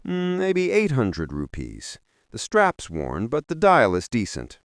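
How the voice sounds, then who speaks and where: A man's voice: medium pitch, huge pitch swings, slow pace, dry recording, clean studio sound, voice pushed up, heavily acted. A man voicing a blunt, dismissive market dealer in a storybook tale, pondering as he appraises. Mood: appraising.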